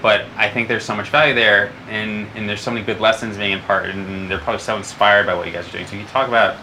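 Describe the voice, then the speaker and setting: Bright-voiced host asking long winding questions. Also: male voice, fairly low pitch, fairly even intonation, fast talker, slight background noise, rough recording. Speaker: podcast host. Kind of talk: interview questions.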